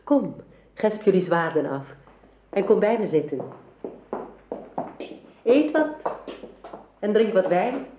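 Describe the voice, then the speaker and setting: An actress playing a gracious, scheming royal enchantress in a radio drama, speaking formally and deliberately. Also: female voice, medium pitch, big pitch swings, background noise. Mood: gracious, inviting. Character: courtly enchantress sister of a king, gracious and scheming. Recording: dull, lo-fi radio play recording.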